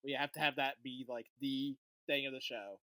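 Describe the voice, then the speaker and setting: A podcast co-host leading a casual chat about TV episodes, relaxed and conversational, often trailing off quietly. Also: medium pitch, moderate pace, slight background noise.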